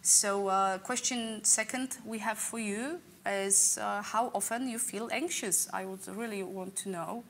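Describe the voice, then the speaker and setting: Casting: middle-aged woman, earnest speaker explaining to an audience, hesitant phrasing, mid pitch, slight room noise. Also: steady tone, a bit bright. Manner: halting, searching for words, earnest. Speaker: speaker leading an online talk. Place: indoor room, office-like.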